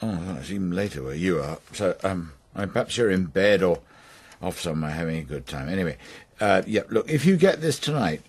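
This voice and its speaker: A man's voice: low voice, very animated, brisk, breathy edge, exaggerated voice. An actor playing a stubborn, proud older English farmer and father in a naturalistic radio soap. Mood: anxious, awkward. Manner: theatrical, sardonic, sometimes pleading.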